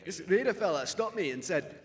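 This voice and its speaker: An actor playing a swaggering, menacing raider chief who fancies himself a comedian, in a comic audio drama. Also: male voice, high-pitched, animated, a little fast. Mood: showboating. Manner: bullying, showboating, flips from jokey to threatening.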